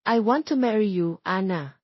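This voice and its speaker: A woman's voice: medium pitch, a little slow, husky, no echo. A Filipino woman plays a devoted young male politician in an audiobook romance, earnest and pleading with the one he loves. The sound is close, dry and a little dull. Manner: pleading, sincere.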